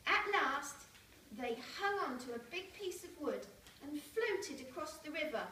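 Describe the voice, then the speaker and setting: A young woman reads a picture book aloud to young children in simple, deliberate phrases, with asides to her listeners, recorded from a distance in a school hall. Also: high voice, sharp tone. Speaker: storyteller reading to young schoolchildren. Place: large indoor primary school hall. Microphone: camera several metres away. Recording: echoey school hall, distant camera, noisy room.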